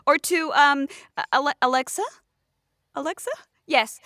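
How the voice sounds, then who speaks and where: Flustered, polite heroine, played by a woman, high and hesitant, dry sound, for comedy roleplay. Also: moderate pace, pushed and bright, dry recording. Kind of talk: improvised audio comedy roleplay.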